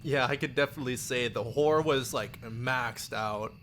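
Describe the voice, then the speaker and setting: A man's voice: mid-range voice, normal speed, fairly bright tone, slight background noise. A man live streaming with casual, rambling chat, often chuckling as he replies to viewers. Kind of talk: casual live stream chat with chat replies, some read-aloud story narration.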